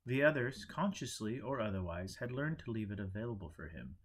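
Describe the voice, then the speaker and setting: A man's voice: lowish voice, very animated, a little fast. A man reading a story aloud in a scripted narration style, as an audiobook reader.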